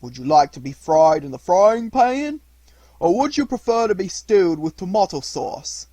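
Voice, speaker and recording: A man's voice: high-pitched, big pitch swings, normal speed, dark tone, dry recording, voice pushed up. A man voicing a menacing green fisherman monster, emphatic, gloating and mock-courteous.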